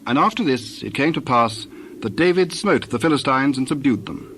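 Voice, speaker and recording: A man narrating scripture from a script in a measured, formal way. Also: medium pitch, animated, a bit bright. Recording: clear background noise with birdsong.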